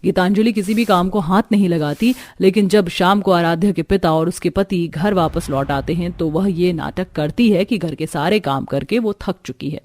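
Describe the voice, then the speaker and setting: A woman's voice: fairly low pitch, moderate pace, few pauses, darkish tone. A woman reading scripted storytelling narration for a cartoon.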